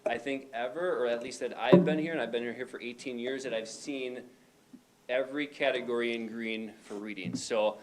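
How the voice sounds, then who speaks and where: A man, a high school principal, reporting to a school board in a loose, conversational way with frequent fillers. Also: normal speed, crowd noise, rough recording. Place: meeting room.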